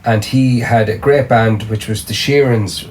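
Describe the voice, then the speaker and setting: A man's voice: on the low side, measured pace. A middle-aged Irish man, a singer and drummer, chatting casually as a podcast guest, rambling with lots of restarts. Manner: rambling, restarts often, animated storytelling. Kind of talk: casual interview chat.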